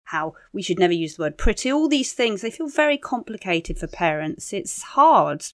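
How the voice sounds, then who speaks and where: A woman's voice: mid-range voice, sing-song, moderate pace, a bit bright, dry recording, clean studio sound. A middle-aged woman co-hosting a podcast, talking things through in an earnest, discursive, conversational way. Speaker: podcast co-host, parent of teenagers. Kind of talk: conversational interviewing.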